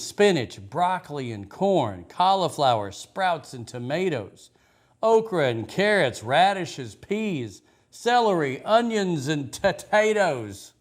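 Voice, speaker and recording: A man reading a rhyming children's story as a storyteller, with expressive, rhythmic delivery. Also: fairly high pitch.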